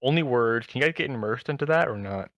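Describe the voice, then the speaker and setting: A man co-hosting a podcast in casual chat, speaking haltingly and often rephrasing himself. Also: medium pitch, lively intonation, no echo, dull audio. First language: English. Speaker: podcast co-host.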